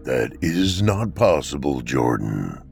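Man voicing Death, deep and slow. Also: big pitch swings, gravelly, a little mellow, faint music behind, heavily acted. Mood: solemn. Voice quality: deep, echoey, slow and measured. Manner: empathetic. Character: Death, deep solemn male reaper, calm.

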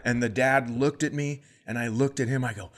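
A middle-aged man, a comedian co-hosting a podcast, telling stories in a casual, animated way and acting out the people in them. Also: mid-range voice, a little fast. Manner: animated, acts out voices in his stories.

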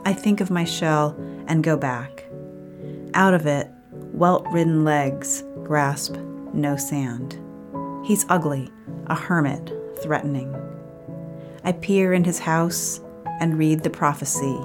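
A woman poet reading her own poem in a measured, literary style. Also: sits low, over music.

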